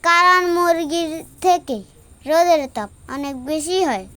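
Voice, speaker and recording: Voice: very high-pitched, a little slow, bright, forceful tone, full character voice. A performer voicing an eager, headstrong young boy in a kids' cartoon, childlike and insistent. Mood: curious, eager. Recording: music behind the voice.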